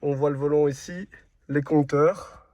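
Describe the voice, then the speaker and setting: A man's voice: on the high side, steady tone, unhurried, darkish tone. A man hosting a casual interview about a car, enthusiastic and asking short questions. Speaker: YouTube host.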